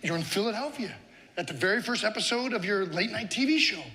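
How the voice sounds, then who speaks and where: Animated, high-pitched male TV host. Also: fast. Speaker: TV show host. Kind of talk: scripted comedy sketch.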